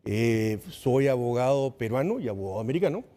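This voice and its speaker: Mellow-voiced Peruvian lawyer in a reverberant studio. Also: male voice, mid-range voice, lively intonation, a little fast. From Peru. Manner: storytelling, explanatory. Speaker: compliance lawyer guest. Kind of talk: panel discussion.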